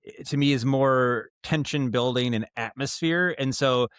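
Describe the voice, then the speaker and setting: A man speaking as a podcast guest in casual interview talk, explaining his craft in a halting, thoughtful way with frequent self-corrections. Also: mid-range voice, fairly bright tone, clean tone, dry recording, studio quality. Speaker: guest, audio drama writer and director.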